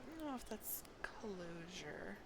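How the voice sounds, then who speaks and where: A woman's voice: medium pitch, animated, slow, very noisy. A woman with a stuffy nose on a live game stream, reading quest text aloud and making offhand comments.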